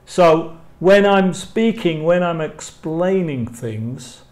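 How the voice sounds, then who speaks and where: English guy teaching a language class, really slow and careful, says phrases over again. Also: fairly high pitch, lively intonation, darkish tone. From England. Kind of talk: live online language lesson, reading model questions and answers.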